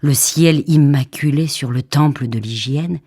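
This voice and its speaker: Female poetry reader for audiobook, breathy husky tone, unhurried solemn dramatic delivery. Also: low-pitched, warm tone. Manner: measured, solemn delivery with deliberate phrasing. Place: radio theatre recording studio.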